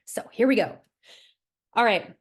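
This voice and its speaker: A woman's voice: on the high side, animated, unhurried, breathy edge. A young woman from Alberta presenting a recorded wellbeing workshop, coaching listeners in an encouraging way with light laughs and fillers. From Alberta, Canada.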